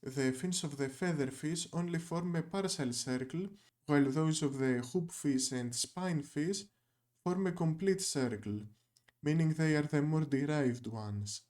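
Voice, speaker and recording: A man's voice: fairly high pitch, a little slow, a little mellow. A young man whose first language is Greek, narrating a scripted video essay with dry, wry asides.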